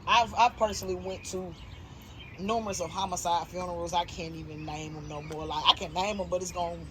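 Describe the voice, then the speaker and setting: A woman in her thirties with a Southern US accent, hosting a casual interview and talking in an animated, slangy, opinionated way. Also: on the low side, a little fast, noisy background. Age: 30s. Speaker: interview host.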